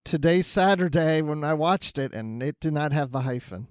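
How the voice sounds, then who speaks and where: A man's voice: on the high side, animated, normal speed, clean tone, dry recording, studio quality. A man co-hosting a podcast, talking in a casual, conversational way. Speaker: podcast co-host. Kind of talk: casual chat.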